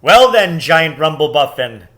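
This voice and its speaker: A man voicing a great, kingly lion in a storybook, bellowing bold commands in drawn-out words. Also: a little high, very animated, a bit bright.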